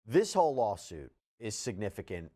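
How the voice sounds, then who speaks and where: A man presenting news and reading out statements with measured, deliberate emphasis. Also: lowish voice, sing-song, dark tone. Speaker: news commentator.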